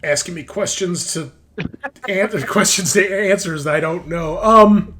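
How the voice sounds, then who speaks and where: A man's voice: high voice, measured pace, a bit bright, slight background noise. A man acting as game master, switching between scene narration and casual talk about the rules.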